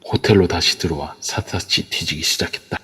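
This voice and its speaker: A man narrating an audio drama in a steady, measured way. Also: low-pitched, slightly rough. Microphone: phone via a voice chat app. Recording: long reverb.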